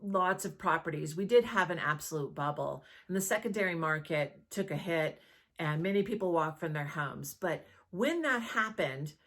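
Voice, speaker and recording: A woman real estate agent talking conversationally to camera, explaining as she goes and often checking in with a quick questioning tag. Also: lowish voice, a bit bright. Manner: conversational, checks in with rhetorical "right?".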